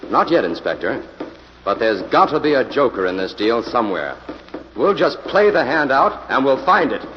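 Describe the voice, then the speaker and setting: A man's voice: mid-range voice, big pitch swings, moderate pace, natural voice. A man playing a composed, determined investigator in an old-time radio drama. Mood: focused. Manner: composed, probing, businesslike. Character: calm, determined male investigator working with police. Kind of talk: 1940s radio acting. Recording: muffled, noisy old radio recording.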